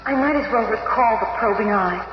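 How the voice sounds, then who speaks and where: A woman's voice: a little high, brisk, muffled recording, over music, natural voice. A woman playing a wistful superhero heroine in 1970s radio acting style. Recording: echoey, noisy old radio recording.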